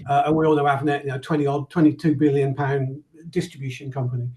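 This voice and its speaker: A man's voice: medium pitch, little pitch movement, fast, mellow. A man answering interview questions as a company founder, modest and hesitant, often repeating words as he thinks. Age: 50s.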